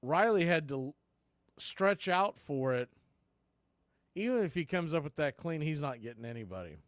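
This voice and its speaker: Clear-voiced male baseball play-by-play announcer. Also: a little high, lively intonation, normal speed, no echo, clean studio sound. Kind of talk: live baseball commentary.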